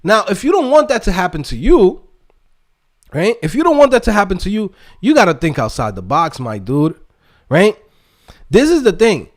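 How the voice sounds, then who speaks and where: A man's voice: high voice, very animated, normal speed. A man from the Dominican Republic giving a casual motivational rant as an online host and coach, emphatic and streetwise, with lots of slang and tag questions. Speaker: YouTube host and developer coach.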